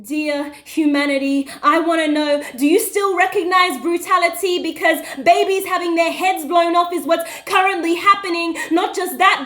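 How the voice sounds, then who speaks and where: A woman with a strikingly high voice recites spoken word poetry. Her delivery is impassioned and deliberate, pressing each line as a dramatic accusation. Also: sharp tone. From Western Australia.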